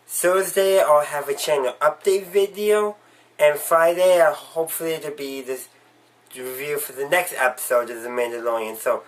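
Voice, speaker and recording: A man's voice: on the high side, low-quality recording. A man recovering from a cold, giving a casual, rambling spoken review with frequent restarts. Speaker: video reviewer.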